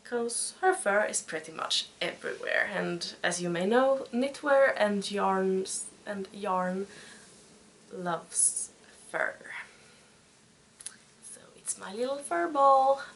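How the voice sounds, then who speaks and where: A Swedish woman in her twenties hosting a podcast in casual chat, speaking with a Swedish accent and pausing often to find her words. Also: medium pitch, lively intonation, a bit bright, a little hiss or hum. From Sweden. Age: 20s. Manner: hesitant, searching for words. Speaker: podcast host.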